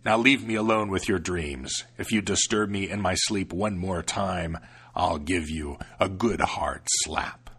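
A man growling as a gruff low devil. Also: measured pace, fairly bright tone, exaggerated voice. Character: grumpy suspicious old male devil.

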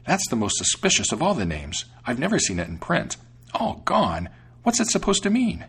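A man's voice: huge pitch swings, moderate pace, fairly bright tone, husky, exaggerated voice. A man voicing a small, trusting female storybook mouse, inquisitive and emphatic, full of wonder. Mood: astonished, suspicious. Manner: high-lifted, emphatic, wondering delivery. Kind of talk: storybook character voice.

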